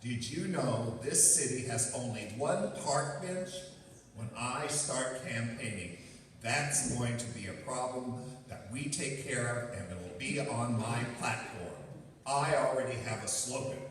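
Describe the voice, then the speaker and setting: A man's voice: mid-range voice, expressive, a little slow, lightly acted. An actor playing a stubborn, weary, self-important older man in a stage radio play. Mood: weary, grumbling. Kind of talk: stage radio theatre acting. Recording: echoey hall from far off, faint noise.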